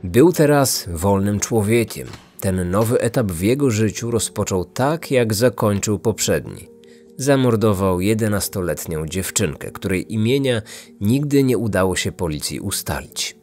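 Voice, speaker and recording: Lowish-voiced male true-crime narrator over music. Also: expressive, measured pace, a little mellow. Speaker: podcast host and narrator.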